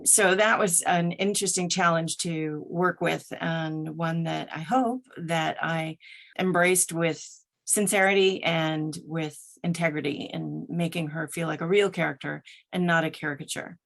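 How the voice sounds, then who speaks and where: Woman, somewhat low pitch, very unhurried pace, reflective interview guest, deliberate careful phrasing. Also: steady tone, gravelly, fairly bright tone. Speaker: guest audiobook narrator and voice actor.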